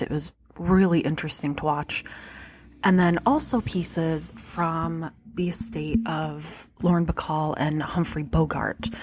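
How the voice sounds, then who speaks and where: A woman with a low, husky voice talks through a stuffed-up nose, chatty and quick to laugh as she hosts her podcast. She sits behind a shop counter, and her voice sounds close and dry. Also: dry recording. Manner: chatty, laughs often between remarks. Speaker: podcast host and vintage shop owner. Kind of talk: casual podcast monologue.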